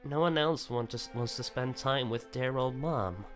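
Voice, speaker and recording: A man's voice: mid-range voice, moderate pace, faint music behind, voice pushed up, lightly acted. A man voicing a doting, scolding middle-aged mother from a visual novel, with a cooing and chiding manner. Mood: doting, complaining.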